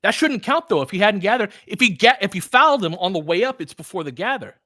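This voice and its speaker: Excitable man with a bright, lively voice. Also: high-pitched, quick pace, no room sound, clean studio sound. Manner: excitable, slangy, profane. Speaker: sports podcast host calling a game. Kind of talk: casual fan play-by-play commentary.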